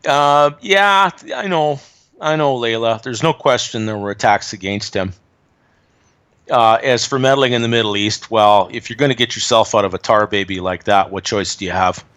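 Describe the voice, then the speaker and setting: A man's voice: fairly low pitch, normal speed, a bit bright, clear, resonant tone. A man giving opinionated, emphatic commentary on politics and economics in a casual chat. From Canada. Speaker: guest commentator.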